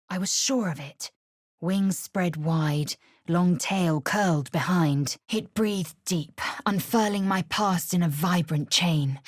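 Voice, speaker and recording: A proud alien warrior woman from a fantasy video game. Her voice is low and husky with a rasping edge. She speaks in slow, clipped, formal phrases, stern and scornful, as one used to giving orders. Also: dry recording, clean studio sound, exaggerated voice. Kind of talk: dramatic game dialogue and narration.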